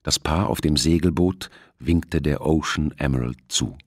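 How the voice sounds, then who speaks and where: A man's voice: extremely low pitch, unhurried, no echo, studio quality. A man narrating a novel as a scripted audiobook, acting out the dialogue.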